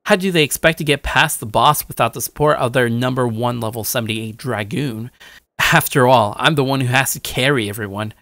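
A man's voice: medium pitch, a little fast, bright, forceful tone, no echo. A young man playing a shy but slightly cocky gamer who narrates his day in a casual, musing way, like an anime-style audio drama. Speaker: narrator and lead character. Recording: dry studio voice over music. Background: music.

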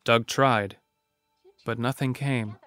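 A man's voice: medium pitch, fairly even intonation, slow pace, fairly bright tone, no room sound. A man reading scripted narration for a horror story as its narrator. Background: faint music.

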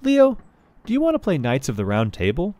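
A bossy, imaginative little girl from a storybook, acted out by a man, pitched high and swooping freely. She declares her make-believe with total confidence and plenty of exclamation. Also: wildly swooping pitch, a little fast, warm tone. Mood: eager, commanding.

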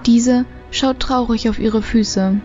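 A woman narrating an audio drama as a calm, descriptive storyteller. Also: on the high side, slow, soft background music. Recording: slightly muffled home recording.

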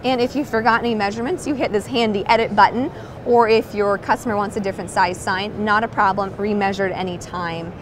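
A woman's voice: mid-range voice, fairly even intonation, quick pace. A woman in her thirties giving an upbeat product demonstration at a trade show booth on a lapel mic. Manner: upbeat, clear sales presentation. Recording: trade show floor noise behind.

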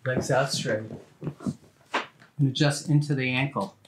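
A man's voice: mid-range voice, steady tone, a little slow. A middle-aged man, a chiropractor, giving casual, short, plain hands-on instructions to a patient. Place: small indoor treatment office. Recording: clearly noisy room with clicks.

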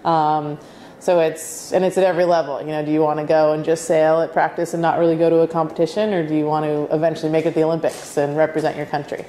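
A woman in her forties, a sailing coach, answering interview questions in a relaxed, reflective way in an indoor office. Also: deep voice, quick pace, reverberant, a little hiss or hum.

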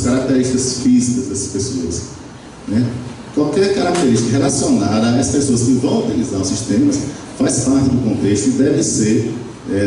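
A man's voice: mid-range voice, warm tone, slight background noise. A middle-aged man giving a university lecture into a handheld mic, explaining step by step with frequent tag questions and fillers. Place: lecture room with projector. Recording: very echoey room, far from camera.

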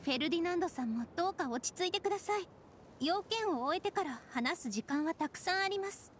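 High, gentle young priestess. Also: female voice, expressive, a little hiss or hum. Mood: calm, soothing. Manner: polite, measured, diplomatic. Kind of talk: anime game voice acting. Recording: game music behind.

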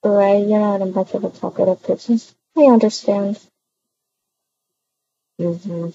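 A woman improvising roleplay dialogue for a video game in a hesitant, halting way with many hums. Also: mid-range voice, fairly even intonation, dark tone. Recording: dull muffled audio, faint noise.

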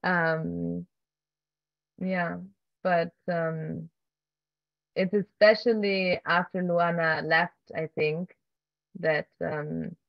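A woman's voice: on the low side, fairly even intonation, extremely slow, vocal fry, pauses often, no room sound. Hesitant woman on a muffled video call. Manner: hesitant, many fillers and restarts, soft laughs. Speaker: filmmaker, keynote guest. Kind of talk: conversational Q&A answers. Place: home room with wooden beams. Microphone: laptop webcam on video call.

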